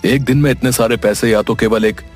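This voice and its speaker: A man's voice: medium pitch, steady tone, brisk, natural voice. Moral-tale casting: male actor as a disapproving, hardworking elder brother, plain mid voice, faint music. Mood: reproachful. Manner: blunt, disapproving. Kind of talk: Hindi animated moral story dialogue.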